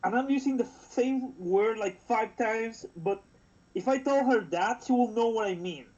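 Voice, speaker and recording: A man's voice: very high voice, unhurried, darkish tone. A man from Chile with a Chilean accent and a nasal voice, chatting casually on a live stream and rambling as he loses his thread. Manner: rambling, trails off and restarts. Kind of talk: casual chat. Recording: dull lo-fi sound.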